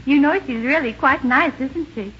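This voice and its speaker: A woman's voice: high voice, moderate pace, natural voice. An actress playing a spirited, romantic young lady in a 1940s radio comedy. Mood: tender. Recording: muffled, hissy 1940s radio recording.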